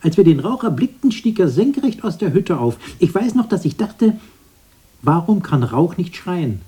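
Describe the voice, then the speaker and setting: Radio drama brother: haunted and bitter, slightly high husky voice, very animated swings, brooding broken phrasing. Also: male voice, normal speed, full character voice. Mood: brooding, remembering.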